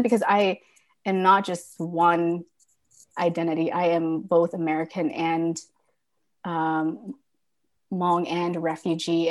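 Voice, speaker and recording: A woman guest speaking reflectively in casual conversation, with many fillers and restarts. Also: fairly low pitch. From born in Thailand.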